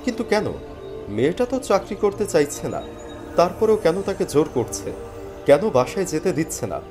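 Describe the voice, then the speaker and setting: A man playing an earnest, dejected young job seeker, telling his own story dramatically in an animated thriller. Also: sits high, moderate pace, music in the background. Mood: anxious, puzzled. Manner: expressive, earnest. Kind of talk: dramatic first-person scripted story narration with dialogue.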